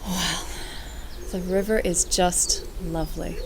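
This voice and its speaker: A woman's voice: lowish voice, steady tone, slow pace, vocal fry, background noise. An actress playing an affectionate female commander, wry, gracious and playful, in a science fiction audio drama. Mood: fond, teasing. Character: affectionate, teasing female commander from another planet.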